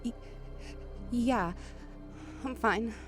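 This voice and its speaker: A woman's voice: on the high side, very slow, music in the background. An actress playing an anxious, grieving daughter who narrates her own ordeal earnestly in a horror audio drama. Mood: nervous. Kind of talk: dramatic first-person scripted narration.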